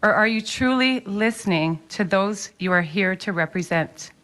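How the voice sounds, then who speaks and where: A woman's voice: mid-range voice, expressive, normal speed, a bit bright. A woman giving formal public testimony, speaking in a pleading, pointed and urgent way.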